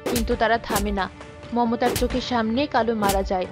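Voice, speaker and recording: High-voiced woman narrating a story. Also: steady tone, fairly quick. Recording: music behind the voice.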